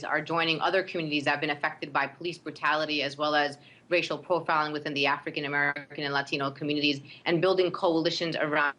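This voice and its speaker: A woman with a Brooklyn accent speaks as an advocate being interviewed. Her voice is notably low for a woman, with a bright, forceful edge. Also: rough recording. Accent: Brooklyn New York. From Brooklyn, New York. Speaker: interview guest, community advocate.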